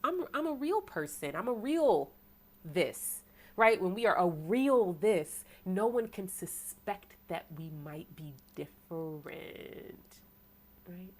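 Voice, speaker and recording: Preachy American woman reading tarot. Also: sing-song. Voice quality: self-described deep voice. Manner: preachy, rhetorical, asks "right?" often, bursts of fast talk. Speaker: tarot reader. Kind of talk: tarot sermon to an online audience.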